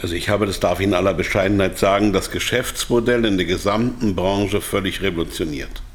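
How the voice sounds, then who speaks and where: A man's voice: low voice, brisk, lightly acted. An actor playing a self-satisfied, evasive male pharmaceutical executive held captive in a radio drama, with a pompous, lecturing manner. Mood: smug, lecturing.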